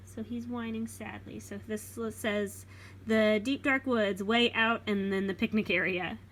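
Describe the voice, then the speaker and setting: A woman reading a children's picture book aloud expressively, pausing to explain it simply to young listeners. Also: mid-range voice, steady tone, fairly bright tone, a little hiss or hum.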